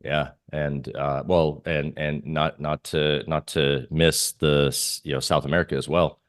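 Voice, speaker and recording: Very deep-voiced man, dry close sound. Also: level tone, measured pace, no echo. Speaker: trader guest being interviewed. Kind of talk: casual interview talk on markets.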